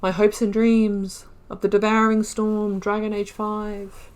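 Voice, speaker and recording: A woman's voice: mid-range voice, little pitch movement, a little slow. A woman hosting a game playthrough, reading lore entries aloud and breaking off into casual, rambling asides. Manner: chatty, trails off and restarts mid-sentence. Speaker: gaming video host.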